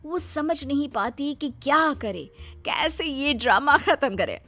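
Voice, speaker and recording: Expressive woman storyteller, higher voice. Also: slow, faint music behind. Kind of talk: scripted Hindi story narration.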